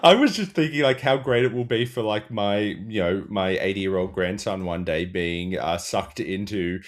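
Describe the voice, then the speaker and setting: Lowish-voiced Australian man, dryly riffing. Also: normal speed. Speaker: comedian podcast guest. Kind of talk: casual comedic chat.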